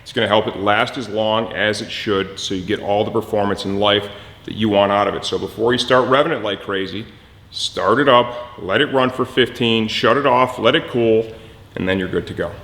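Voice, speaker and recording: A man presenting a hands-on mechanical how-to, instructive and often checking in with a quick "okay". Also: on the low side, a bit bright, in a large hall.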